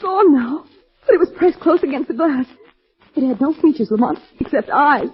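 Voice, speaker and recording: An actress playing an anxious, excitable, well-spoken lady companion in a 1940s-style radio thriller, dramatic in delivery. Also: high-pitched, moderate pace. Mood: terrified. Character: nervous, excitable refined young lady, sleuth's companion. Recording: dull old radio recording.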